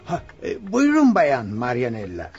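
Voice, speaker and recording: An actor playing an obedient, flustered butler, hesitant and deferential, in a Turkish radio play. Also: male voice, medium pitch, huge pitch swings, a little slow, darkish tone, faint music behind. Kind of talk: Turkish radio theatre acting. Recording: dull, muffled radio recording.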